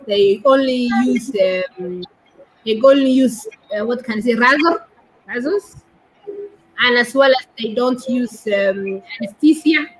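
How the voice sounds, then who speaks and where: A woman in her thirties with a Somali accent, explaining haltingly as an interview guest on a video call, searching for words. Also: high-pitched, fairly bright tone, husky, rough recording. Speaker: guest, doctor and women's rights activist. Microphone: laptop or phone on a video call.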